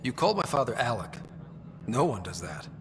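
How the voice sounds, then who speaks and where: An actor playing an earnest, inquisitive young space explorer in a video game, with reverb and noise around him. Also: male voice, mid-range voice, big pitch swings, fairly quick, creaky, fairly bright tone. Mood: probing. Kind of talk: video game dialogue acting.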